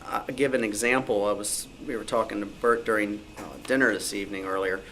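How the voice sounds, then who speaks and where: A man's voice: lowish voice, steady tone, fast, a bit bright. Male author telling anecdotes, noisy recording. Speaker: author and researcher of old-time radio, panel guest. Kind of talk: anecdotal panel talk. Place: club meeting room. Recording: clearly noisy live room.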